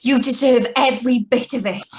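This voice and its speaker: A woman playing a young wife who calmly confesses to murder in a live reading of a radio thriller. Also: a little high, normal speed, close to an everyday voice. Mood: vengeful. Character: young wife Patrice, calm remorseless killer confessing. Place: video call reading from home.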